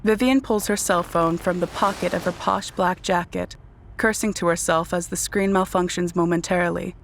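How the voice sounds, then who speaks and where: A woman narrating a dark vampire audio drama in a composed, scripted storytelling style. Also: lowish voice, fairly even intonation, normal speed, slight background noise, natural voice. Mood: descriptive.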